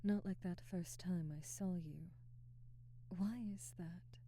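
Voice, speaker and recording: A woman playing an elegant, domineering vampire noblewoman in an intimate ASMR roleplay, speaking softly and breathily in formal, measured phrases. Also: fairly low pitch, steady tone, a little hiss or hum. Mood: admiring, teasing. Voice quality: soft, breathy, whispery. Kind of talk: intimate ASMR roleplay, slow whispery delivery.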